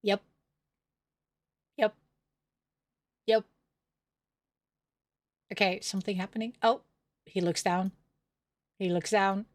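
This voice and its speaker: A woman reading a visual novel aloud as a gameplay host, expressive and theatrical, with playful asides. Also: mid-range voice, slow, long pauses, no room sound.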